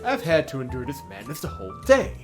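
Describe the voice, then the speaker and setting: A man's voice: mid-range voice, huge pitch swings, quick pace. An indignant woman housekeeper, read out by a man in a visual novel game, guards her lady's house. The delivery is formal and scolding, full of exasperation. Music plays behind the voice. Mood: exasperated.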